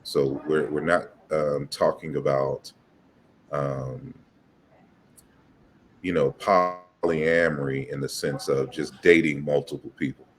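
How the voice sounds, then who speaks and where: A man's voice: rumbling low, fairly even intonation, slow, slight background noise. A man hosting an online interview, talking hesitantly with many "you know"s and repeated words. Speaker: host.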